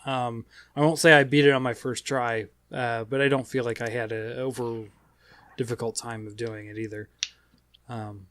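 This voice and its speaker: A man hosting a casual gaming podcast, talking in a rambling, halting way. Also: medium pitch, little pitch movement, a bit bright, slight background noise. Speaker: podcast host.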